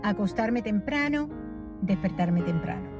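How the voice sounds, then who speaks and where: A woman's voice: mid-range voice, lively intonation, a little slow, music in the background. A woman who grew up in Los Angeles, rambling cheerfully on a walking vlog at night, often changing topics and laughing at herself. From raised in Los Angeles. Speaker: vlogger. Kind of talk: casual vlog walking tour. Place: outdoor street corner at night.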